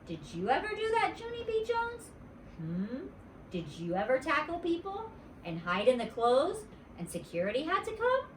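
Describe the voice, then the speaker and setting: Woman playing excitable little girl, storybook, squealy, nosy, boastful, high, swooping intonation. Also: normal speed, a little hiss or hum. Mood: suspicious, nosy. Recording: roomy echo, distant mic.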